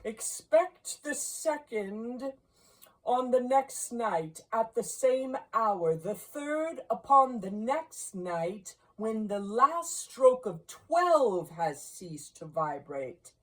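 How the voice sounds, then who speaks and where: A woman's voice: a little high, sing-song, pitched above the performer's own voice, heavily acted, low-quality recording. A woman playing a mournful male ghost in a storybook reading, solemn and declamatory, drawing out grave warnings. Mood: grave, commanding. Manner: slow, drawn-out, declamatory delivery.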